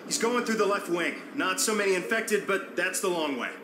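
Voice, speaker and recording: Blunt, high-voiced survivor leader. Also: male voice, animated, brisk, roomy sound, background noise. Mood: urgent, commanding. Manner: blunt, commanding. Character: gruff, foul-mouthed man leading survivors, grimly practical. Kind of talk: video game cutscene acting.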